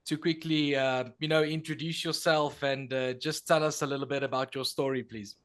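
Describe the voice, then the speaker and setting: A man's voice: mid-range voice, steady tone, normal speed, a bit bright. A man hosting a podcast, welcoming his guest and asking questions in an upbeat manner. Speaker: podcast host.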